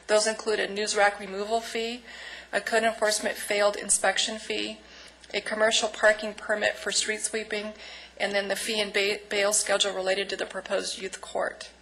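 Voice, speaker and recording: Bright, gravelly-edged woman presenting a budget. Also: medium pitch, low-quality recording. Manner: businesslike, reading through lists. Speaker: city staff presenting the budget. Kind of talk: budget presentation at a council meeting.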